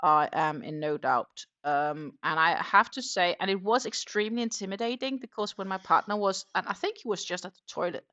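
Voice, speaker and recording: Middle-aged woman, livestream crochet host, casual rambling chat, lots of ums, lowish voice. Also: brisk, few pauses, pushed and bright, muffled recording. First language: not German. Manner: chatty, rambling, frequent um fillers.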